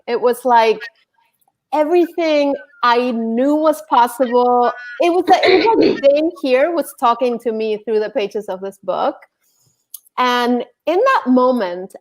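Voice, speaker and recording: Enthusiastic high-voiced woman guest. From Argentina. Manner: enthusiastic, emphatic, some repeats. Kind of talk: casual interview storytelling. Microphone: video call.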